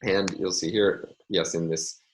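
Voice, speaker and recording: A man lecturing in a measured way, often pausing with ums and uhs as he explains. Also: sits low, fairly even intonation, slight background noise. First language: English. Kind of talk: recorded online lecture.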